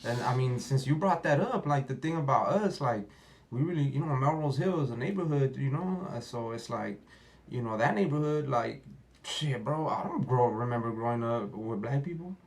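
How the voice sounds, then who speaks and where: Gravelly-voiced man in loose podcast chat. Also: medium pitch, expressive, normal speed, low-quality recording. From United States. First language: Spanish. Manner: loose and rambling, heavy fillers, swears. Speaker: rapper and podcast guest.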